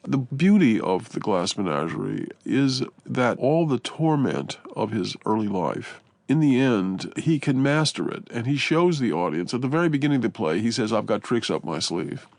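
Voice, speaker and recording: A man giving reflective literary commentary in a deliberate way, with halting pauses and emphatic phrasing. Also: medium pitch, very animated, vocal fry, darkish tone, dry recording.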